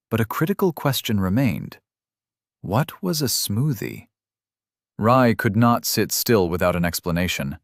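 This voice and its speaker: Male audiobook narrator, slow and steady, dry close mic, lowish voice. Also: dry recording. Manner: measured, unhurried storytelling. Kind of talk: scripted fantasy narration.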